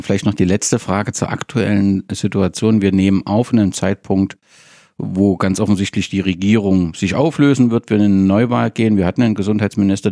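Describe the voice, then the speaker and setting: A man hosting an interview podcast, asking conversational questions and sometimes halting in mid-question. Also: deep voice, mellow, no echo, studio quality.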